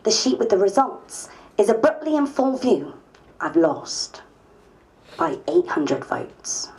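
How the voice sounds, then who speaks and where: A woman as slow, reflective female diarist. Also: a little high, very animated, darkish tone, heavily acted, low-quality recording. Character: reflective woman politician narrating her diary. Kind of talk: dramatised diary reading.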